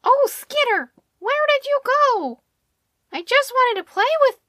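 A woman voicing a small, excitable male dog who talks big to seem tough in a children's storybook. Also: extremely slow, no echo, clean studio sound, heavily acted. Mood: eager. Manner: barking bravado, high and eager.

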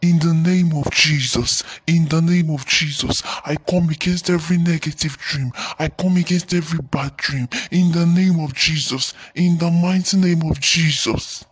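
Man playing a husky, anguished young believer. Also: a little high, fairly even intonation. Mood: fervent prayer. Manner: slow, anguished, repeating his phrases. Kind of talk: audio drama acting.

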